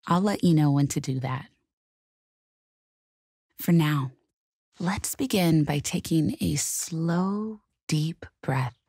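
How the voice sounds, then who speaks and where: A woman leading a guided meditation in a calm, gentle, soothing and measured way. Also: low-pitched, slightly rough, no echo, clean studio sound.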